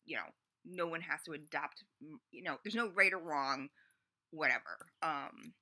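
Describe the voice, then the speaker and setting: Woman, late forties, chatty confessional vlogger, very bright tone, lots of fillers. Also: low voice, expressive, moderate pace. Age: 40s. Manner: rambling, chatty, full of "you know" and "like". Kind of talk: casual confessional vlog.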